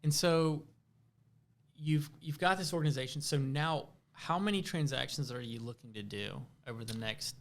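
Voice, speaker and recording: A man's voice: a little high, normal speed. A man interviewing a guest as podcast host, asking questions in a casual conversational style.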